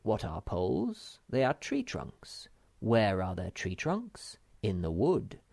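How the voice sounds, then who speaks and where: A man's voice: lowish voice, wildly swooping pitch, slow pace, vocal fry, exaggerated voice. A man voicing a bossy, pompous male know-it-all in a storybook reading. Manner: pompous, loud.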